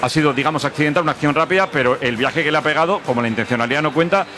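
Fast-talking male football show host. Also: a little high, over music. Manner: moderating, cuts in to hand over. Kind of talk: live football talk show.

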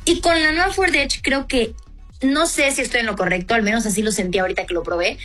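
A woman's voice: fairly high pitch, fast talker, bright, forceful tone, faint music behind. A woman, a car reviewer co-hosting a casual car talk show, who thinks aloud with many fillers and restarts.

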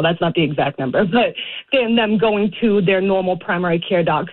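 Boston lady on the phone, earnest, lots of ums, tinny sound. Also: mid-range voice. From Boston area. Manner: earnest, halting, with many fillers. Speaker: congressional candidate, radio guest. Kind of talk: casual radio interview. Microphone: phone call.

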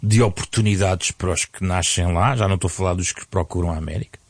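A man's voice: low voice, fairly even intonation, fast talker, no echo. A man with a European Portuguese accent hosting and moderating a radio discussion. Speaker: radio host. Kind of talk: interview moderation.

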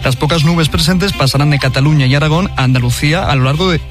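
A man with a slightly high voice reads a radio weather forecast at a fast clip. He runs through temperatures and regions in a steady, listing way. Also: over music. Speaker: weather presenter.

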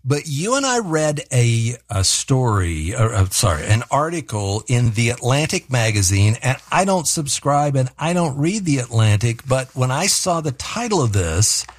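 A man hosting a radio talk show, chatting casually with his guest and encouraging her with quick interjections. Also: mid-range voice, big pitch swings, no echo, clean studio sound. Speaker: radio host.

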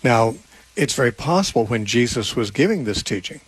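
An older American man in his seventies speaks as a radio host and teacher. His voice is husky and recorded dry, with no room sound. He explains things casually and conversationally, with a self-deprecating touch. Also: medium pitch, big pitch swings, moderate pace, no room sound, low-quality recording. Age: 70s. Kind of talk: casual live call-in radio talk.

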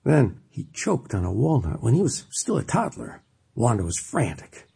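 A man's voice: mid-range voice, big pitch swings, moderate pace, creaky, mellow, slightly rough, exaggerated voice. An actor playing a devout older father, a reformed drinker, narrating his life story in an earnest, emotive way for an audio drama. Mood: sober reflection. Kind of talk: dramatized first-person narration. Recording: music bed under the voice.

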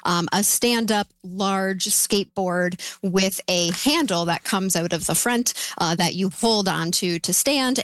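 A woman's voice: lowish voice, no echo. A woman giving careful, informal explanations as an expert guest in an interview.

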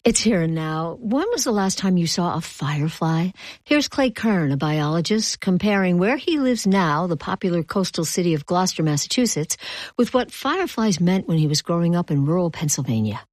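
Low-voiced woman radio news host. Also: animated, normal speed, darkish tone, no echo. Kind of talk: public radio news presenting.

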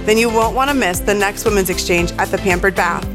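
A woman's voice: mid-range voice, a little fast, over music. A woman reading a scripted radio ad in an inviting tone. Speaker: commercial announcer.

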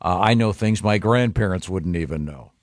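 Low-voiced radio host reading mail. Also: male voice, no room sound, clean studio sound. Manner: halting, reads with many uh fillers. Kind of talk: morning radio banter, reading listener letters.

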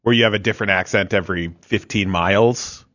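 A man co-hosting a radio show, explaining sports and language points in a measured way with dry wit. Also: on the low side, fairly bright tone, no echo, dull audio. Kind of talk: casual sports talk on radio.

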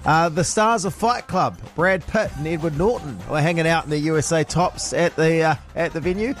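A man hosting breakfast radio, chatty and quick to laugh, with plenty of fillers. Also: on the high side, faint music behind. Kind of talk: casual morning radio banter.

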